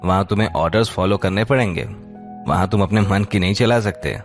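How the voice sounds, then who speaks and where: A man voicing a stoic, caring soldier in an audio drama, speaking with confident, firm calm. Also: lowish voice, fairly quick, close to an everyday voice. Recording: music behind the voice.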